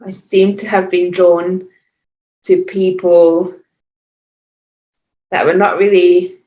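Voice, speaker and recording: A woman in her forties speaks English with a Hungarian accent, her voice sitting low. She talks in a hesitant, reflective way, full of small pauses and restarts. The recording sounds roomy and muffled, as if from a remote call. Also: little pitch movement, dark tone. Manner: thoughtful, hesitant, many fillers and restarts. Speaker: podcast guest, yoga teacher. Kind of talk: reflective interview talk. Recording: echoey, muffled remote audio.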